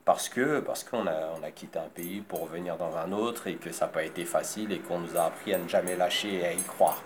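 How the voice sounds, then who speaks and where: Low-voiced man, halting interview answers. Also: noisy background. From born in Congo, raised in France. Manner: halting, many fillers and restarts. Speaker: designer.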